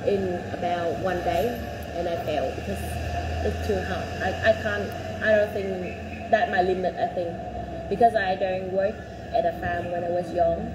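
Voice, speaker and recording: A woman in her twenties, born in Vietnam, a job applicant telling her work history in halting speech with many fillers and restarts. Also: mid-range voice. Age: 20s. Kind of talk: interview answers. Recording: echoey room, loud background noise.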